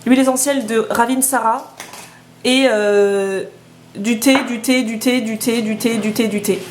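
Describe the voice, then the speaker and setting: A woman's voice: a little high, fairly even intonation, measured pace, echoey room, noisy background. Chatty young woman with a cold. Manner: chatty, many hesitations. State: has a head cold. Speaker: vlogger. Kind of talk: casual vlog chat. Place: at home indoors.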